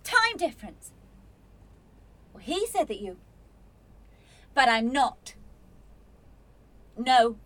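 High-voiced, bitter, defensive young woman. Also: very animated, very slow, long pauses, fairly bright tone, full character voice. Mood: exasperated, sarcastic. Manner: sarcastic, combative. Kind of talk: radio play acting.

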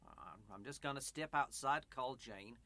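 A man's voice: mid-range voice, expressive, normal speed, pushed and bright. An actor in a radio play playing a kindly, earnest pastor, a family man who speaks hesitantly and repeats his words. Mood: friendly, hospitable.

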